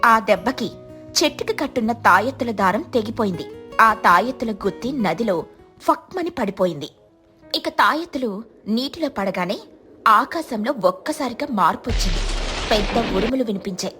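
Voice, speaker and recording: High-voiced woman narrating a children's story. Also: lively intonation, normal speed, pushed and bright, faint music behind.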